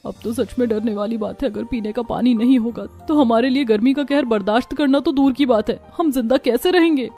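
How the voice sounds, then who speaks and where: A woman's voice: a little high, brisk, few pauses, dark tone, faint music behind. A woman voicing earnest, fretful young village daughters-in-law in a cartoon story, with dramatic delivery. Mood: anxious. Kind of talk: Hindi cartoon story dialogue.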